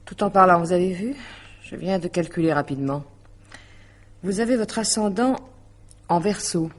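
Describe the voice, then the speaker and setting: An actress playing a composed, persuasive astrologer in a French radio drama, speaking in a measured, explanatory way. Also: female voice, fairly low pitch, close to an everyday voice. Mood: grave, insistent. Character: composed, persuasive female astrologer consulting a client. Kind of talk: 1950s-style French radio drama acting.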